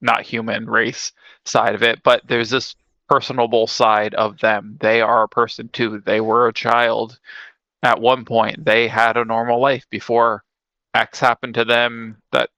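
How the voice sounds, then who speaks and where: A man's voice: mid-range voice, little pitch movement, measured pace, sharp tone, no room sound, poor audio. A man co-hosting a casual discussion, explaining his points earnestly and repeating them for emphasis. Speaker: podcast co-host.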